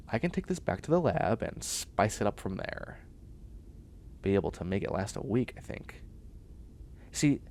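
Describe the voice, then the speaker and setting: A man performs a cocky, nerdy young bioengineer in a sci-fi comedy roleplay. His low voice swings widely in pitch as he chatters and makes snarky asides, with faint noise behind him. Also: gravelly, darkish tone, full character voice. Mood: eager, chatty. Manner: chatty, snarky, boastful, muttering asides and exclamations. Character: nerdy, self-assured young male bioengineering student in sci-fi future. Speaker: voice actor in audio roleplay. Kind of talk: comedic one-sided audio roleplay.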